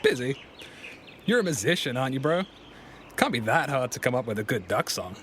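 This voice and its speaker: A man's voice: mid-range voice, sing-song, brisk, a bit bright, a little hiss or hum. A young man playing a smug, needling duck brother in an audio drama, with a mocking, slangy way of talking.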